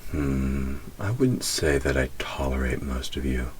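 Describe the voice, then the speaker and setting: An ancient, proud demon, played by a man, with an extremely deep voice. He murmurs slowly and deliberately, nearly whispering, gruff yet tender underneath. It is an intimate audio roleplay with clear background noise. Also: animated. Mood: aloof, dry. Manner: slow, deliberate, softly murmured, often near a whisper. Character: centuries-old male demon, proud, gruff but tender.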